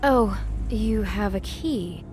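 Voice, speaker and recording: Young female desk clerk for a game, acted by a woman, wary, folksy sass, medium pitch. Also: takes it slowly, background noise, lightly acted. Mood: flustered, confiding. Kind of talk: video game voice acting. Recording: music under the voice.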